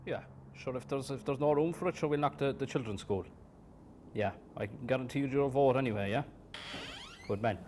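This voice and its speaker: A man's voice: medium pitch, brisk, darkish tone, a little hiss or hum, lightly acted. A man playing a crude, patronizing small-town boss, rambling and folksy. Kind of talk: comedy sketch acting.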